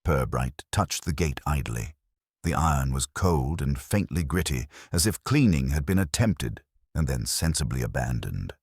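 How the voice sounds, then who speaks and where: A man narrates a literary mystery with dry, understated wit. His voice is extremely deep and slightly raspy, with a lively melodic range, recorded close and dry. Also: no echo. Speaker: narrator and reader of a mystery story. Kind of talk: scripted literary narration with dry, wry humour.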